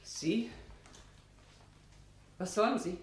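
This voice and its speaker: An actress playing a curt, defiant housekeeper with a guilty secret in a German radio play. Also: female voice, mid-range voice, drawn-out words. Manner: curt, defensive. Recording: roomy sound with noise and clicks.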